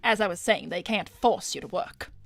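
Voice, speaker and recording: A woman's voice: lowish voice, expressive, moderate pace, gravelly, no room sound, soft background music. A woman voicing a glamorous, scheming hedgehog businesswoman in an improvised cartoon chat, suave, commanding and theatrically persuasive. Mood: businesslike persuasion. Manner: suave, theatrical, commanding, calls others darling. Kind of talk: improvised in-character livestream chat.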